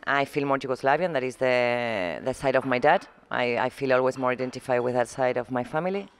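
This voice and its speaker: A middle-aged woman from Argentina, speaking English as an in a halting, searching way as an interview guest. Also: a bass voice, soft background music. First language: Spanish. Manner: halting, searching for words, frequent restarts. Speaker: muralist guest. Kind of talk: casual interview conversation.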